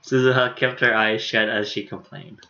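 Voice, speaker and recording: A man's voice: lowish voice, little pitch movement, normal speed, sharp tone, dull audio. A young man streaming, casually reading a visual novel aloud with offhand asides.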